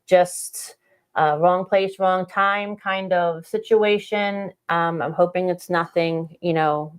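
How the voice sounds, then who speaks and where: A woman hosting a political podcast, giving casual commentary in a halting, deliberate way. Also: fairly low pitch, steady tone, smooth.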